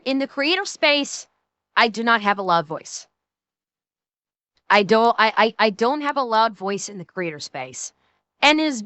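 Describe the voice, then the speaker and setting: A young woman talks straight to her live chat, indignant and blunt, with the occasional curse. Her voice is high and bright with a smooth, crisp tone. It is recorded close on a desk mic in a dry room. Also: no room sound. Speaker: streamer. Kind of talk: live stream chat with viewers.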